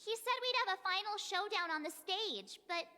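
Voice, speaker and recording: A woman voicing an easily spooked, bubbly young girl adventurer in a video game, expressive and plaintive. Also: very high voice, moderate pace, exaggerated voice. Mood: uneasy. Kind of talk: scripted video game voice acting.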